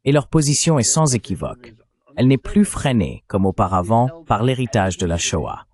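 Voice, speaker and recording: A man's synthetic dubbing voice reading political commentary in a measured, articulate way. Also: mid-range voice, very animated, darkish tone. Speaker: voice-over translator for a panelist.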